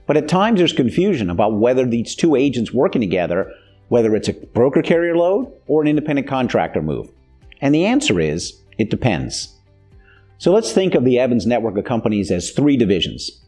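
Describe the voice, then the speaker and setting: A man's voice: medium pitch, sing-song, brisk, mellow, husky, soft background music. An American man in his fifties giving a plain-spoken, instructional explanation as the host of a training video. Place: indoor video studio.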